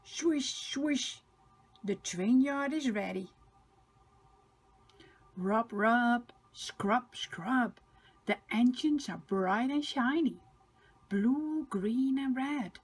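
An older woman narrating a picture book for small children, expressive and emphatic with sing-song repetition. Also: fairly high pitch, very slow, long pauses, breathy edge. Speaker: storybook reader for children.

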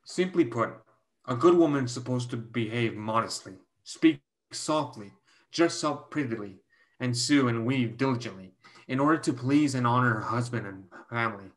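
A young man plays a stern guardian who sees protecting a girl as his duty. His mid-range voice is measured and solemn, read as a stage play over a video call. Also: mid-range voice, close to an everyday voice. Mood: solemn, insistent.

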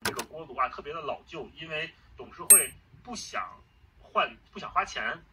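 A man's voice: fairly high pitch, animated, moderate pace. A man casually retelling and explaining a story in conversation. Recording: distant playback with faint noise.

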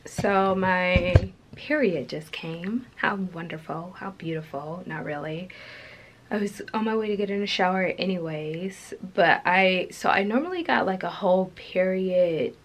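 A woman's voice: on the low side, measured pace, faint music behind. A young woman chatting casually at home as a vlogger, with lots of "like" fillers. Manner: chatty, relaxed, with frequent "like" fillers. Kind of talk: casual vlog chat. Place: home, indoors.

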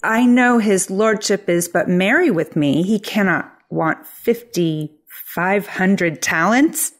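A woman playing an effusive, flattering, two-faced Athenian lord in a theatrical Shakespeare play reading. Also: mid-range voice, slow pace. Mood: gushing flattery. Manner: gushing, theatrical.

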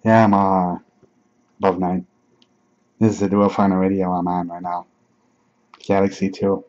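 Low, very mellow middle-aged man. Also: level tone, normal speed. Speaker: CB radio operator. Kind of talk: casual radio chat. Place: small indoor room with radio gear. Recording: dull room recording, faint noise.

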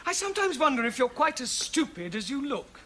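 A man's voice: extremely high pitch, big pitch swings, a little fast. An actor in his thirties playing a patient but exasperated evening-class teacher in a sitcom, with an English accent and a dry, correcting manner. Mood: dry exasperation. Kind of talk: 1970s TV sitcom acting. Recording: noisy old TV, loud audience laughter.

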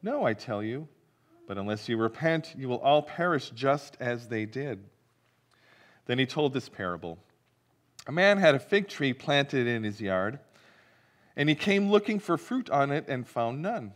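A man's voice: medium pitch, big pitch swings, unhurried. A middle-aged man, a pastor, reading the gospel and preaching a sermon in a church sanctuary, telling the story vividly and asking the congregation questions.

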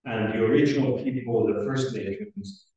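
A middle-aged man from Switzerland giving a conference welcome speech as chair, speaking haltingly with frequent hesitant pauses. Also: mid-range voice, dark tone, highs cut off. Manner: halting, hesitant, pausing often. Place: indoor lecture room at a lectern. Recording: very echoey room, far from the microphone.

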